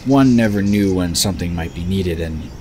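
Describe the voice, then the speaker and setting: Deep-voiced male fantasy narrator. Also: moderate pace. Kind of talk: scripted third-person fantasy narration. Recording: background noise of birds and crickets.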